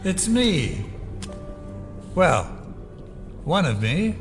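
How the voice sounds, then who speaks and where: A man's voice: high-pitched, big pitch swings, very slow, creaky, soft background music, heavily acted. An actor playing a sly, fatalistic cloned man, speaking in a casual, wry, teasing way in an audio drama. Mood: sheepish, wry.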